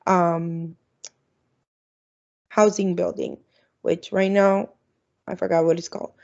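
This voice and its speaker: A woman's voice: medium pitch, vocal fry, a little mellow. A woman who works as an international student advisor, presenting at an online orientation in a chatty way with lots of ums. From Florida, United States. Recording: dull, lo-fi video call audio.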